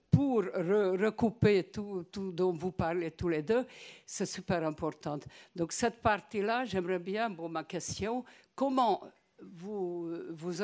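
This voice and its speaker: An older woman whose first language is not French, asking a long question from the audience at a conference in a hesitant, halting way with many restarts. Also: lowish voice, a little mellow.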